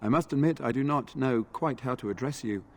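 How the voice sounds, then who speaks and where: An actor playing an earnest, dutiful knight in a fantasy video game, speaking formally and courteously. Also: male voice, medium pitch, fairly quick, darkish tone, close to an everyday voice. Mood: courteous, respectful. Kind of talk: video game dialogue acting.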